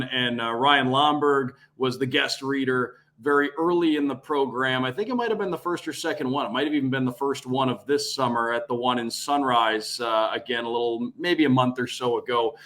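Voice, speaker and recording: A man's voice: mid-range voice, bright, forceful tone. A man who calls hockey games on radio, answering interview questions in a fluent, friendly way. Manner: fluent, friendly, lightly filler-laced. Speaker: radio play-by-play announcer, guest.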